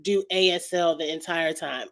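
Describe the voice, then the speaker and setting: A woman hosting a casual review vlog, chatty and emphatic, her voice slightly raspy and stuffed up from a cold. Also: on the low side. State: lingering cold with sinus congestion, clearing throat often. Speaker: YouTube show host reviewing reality TV. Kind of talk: casual vlog commentary to viewers.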